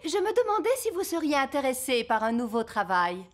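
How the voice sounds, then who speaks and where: A woman's voice: high voice, very animated, a little fast. A woman playing a self-assured fairy queen and mother in a children's cartoon, grand and breezily confident. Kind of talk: animated children's cartoon acting. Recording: music bed behind the voice.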